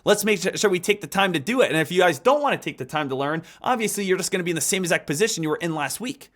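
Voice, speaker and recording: A man in his thirties with a fairly high voice leads a live trading lesson. He talks with energy and circles back to the same points in a coaching tone. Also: animated, very fast, fairly bright tone. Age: 30s. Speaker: trading instructor.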